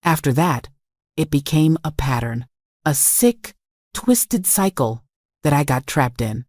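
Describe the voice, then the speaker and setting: A woman's voice: sits low, expressive, slow pace, a little mellow, no echo, clean studio sound. A woman reading a scripted first-person dramatic story in a confessional, emphatic way. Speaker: story narrator.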